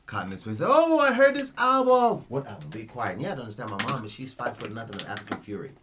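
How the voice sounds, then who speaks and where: A young man from Cameroon talks at a middling pitch in a casual interview. He rambles through a story, loops back on himself and keeps checking in with "you know" and "right?". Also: big pitch swings, quick pace, noisy background. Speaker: interview guest, media creator.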